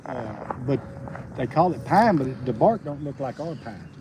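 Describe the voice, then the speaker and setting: A man in his fifties with a Southern US accent, rambling in a folksy, halting way during a casual outdoor livestream. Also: mid-range voice, lively intonation, highs cut off. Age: 50s. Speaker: homesteading livestream host, logger. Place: outdoors on a rural roadside. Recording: outdoor noise with birds. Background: birds and rural outdoor ambience.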